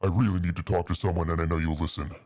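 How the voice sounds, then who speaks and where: A man's voice: deep voice, steady tone, rapid-fire, darkish tone, no room sound, lo-fi sound. A man reading fan fiction aloud on a stream, mock-earnest and melodramatic, with a casual style. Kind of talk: dramatic narration with casual asides.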